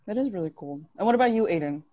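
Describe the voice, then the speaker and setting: Mellow, encouraging young woman moderating. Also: medium pitch, expressive, very fast, no echo. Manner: encouraging, affirming. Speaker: panel moderator. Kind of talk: hosting an online panel. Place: indoors on a video call. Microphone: video call microphone.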